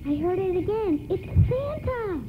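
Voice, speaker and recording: A woman playing an excited small child at Christmas in a radio play. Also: extremely high pitch, a little slow, noisy background, heavily acted. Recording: narrow broadcast sound under loud music.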